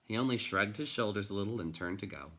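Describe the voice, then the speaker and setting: A man reading a storybook aloud like a teacher, with expressive narration in measured phrases. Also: lowish voice.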